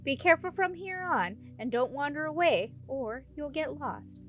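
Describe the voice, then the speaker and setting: A calm, protective she-dragon, as a woman reader puts her on, speaking in a high female voice. She is matter-of-fact and instructive, reassuring as a guide, with faint music behind. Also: normal speed.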